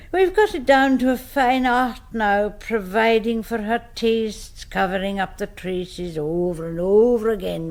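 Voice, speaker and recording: A woman's voice: medium pitch, a little mellow. An actress playing a stern Scottish governess in a radio drama, prim and darkly matter-of-fact as she serves her vampire household. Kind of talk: 1970s radio drama acting.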